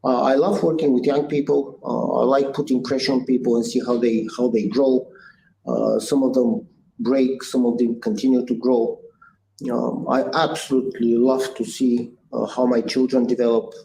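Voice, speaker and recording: A Bulgarian-accented man in his sixties speaks earnestly and thoughtfully, pausing often on "uh" and restarting his phrases. His voice is mellow and dark at a middle pitch. It sounds like a roomy, echoey space with a faint hiss behind him. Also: little pitch movement, creaky. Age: 60s. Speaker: guest entrepreneur. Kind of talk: conversational interview answers. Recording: echoey room with faint noise.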